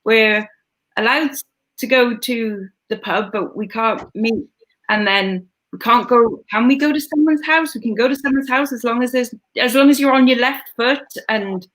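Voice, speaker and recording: A woman's voice: on the high side, moderate pace, a bit bright, poor audio. A Welsh woman hosting a casual live stream, chatting in a rambling way with lots of fillers and restarts. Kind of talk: casual chat.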